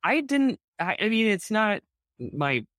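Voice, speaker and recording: A man co-hosting a podcast in casual chat, excitable and full of fillers, his voice jumping up when he gets outraged. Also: high-pitched, takes it slowly, dry recording, clean studio sound. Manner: many fillers and restarts. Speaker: podcast co-host. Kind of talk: casual chat about a TV episode.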